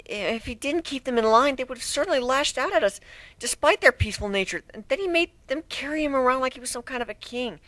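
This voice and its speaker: A man's voice: very high-pitched, lively intonation, fast talker, bright, forceful tone, no echo, pitched above the performer's own voice, heavily acted. A man voicing a young female griffin on trial in a dramatic story reading, timid and defensive, with a hesitant, stammering delivery. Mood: subdued, explaining. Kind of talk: dramatic fan fiction reading.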